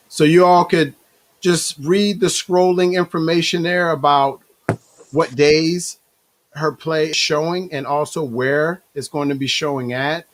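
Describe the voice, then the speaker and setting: A hoarse man hosting a talk show, talking in a halting way with many fillers and self-corrections. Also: fairly high pitch.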